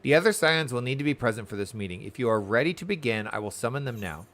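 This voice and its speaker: A man's voice: mid-range voice, expressive, a bit bright, lightly acted. Man reading as a composed, formal woman leader. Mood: composed, dry. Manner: formal, measured. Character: composed, authoritative woman leader in fantasy game.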